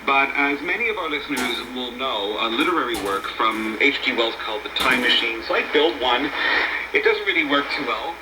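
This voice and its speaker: A man's voice: mid-range voice, animated, a little fast, reverberant. Noisy shortwave broadcast, chatty male radio host. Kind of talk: informal banter and interview on a radio show.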